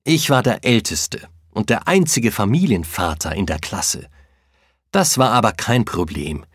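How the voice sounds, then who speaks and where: An earnest immigrant cook. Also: male voice, fairly low pitch, sing-song, fairly bright tone, slightly rough, no echo, clean studio sound, heavily acted. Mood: sincere. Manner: plain, measured telling. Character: Ecuadorian man in his late thirties, trained cook, earnest. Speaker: interviewee in textbook listening exercise. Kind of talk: scripted first-person account.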